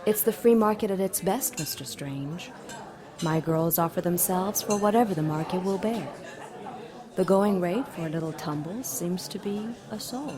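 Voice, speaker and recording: Woman as demon temptress, fairly low pitch, sly, amused, smug, seductive villainess, audio drama, busy crowd background. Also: normal speed, lightly acted.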